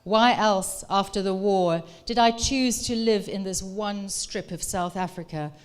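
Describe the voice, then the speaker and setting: A woman's voice: medium pitch, unhurried, smooth, lightly acted. A woman reading aloud as a stern, domineering older Dutch father with a growly Dutch accent. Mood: imperious.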